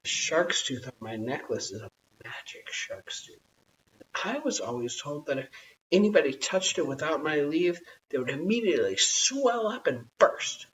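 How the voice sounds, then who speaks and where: Storybook part: earnest, awed tribesman done by a reader, highly animated, on a dull and hissy recording. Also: normal speed, far from the mic, close to an everyday voice. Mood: awed, cautious. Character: earnest, awed stranger man, anxious to be polite.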